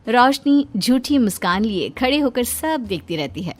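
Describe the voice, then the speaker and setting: A woman narrating a scripted family story in an expressive storytelling manner. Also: a little high, normal speed, music in the background.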